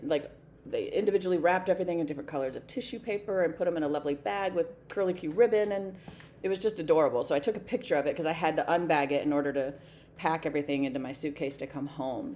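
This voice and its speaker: A woman with a hoarse voice chatting casually to camera at her desk, rambling with many fillers and light laughter. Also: sits low, darkish tone, a little hiss or hum. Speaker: flosstube vlogger. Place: home desk.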